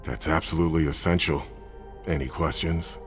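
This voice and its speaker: A no-nonsense mission planner in a dramatic video game. His voice is exceptionally deep, curt and authoritative. Faint music sits behind it. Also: steady tone, normal speed. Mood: stern, matter-of-fact. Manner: curt, authoritative, matter-of-fact.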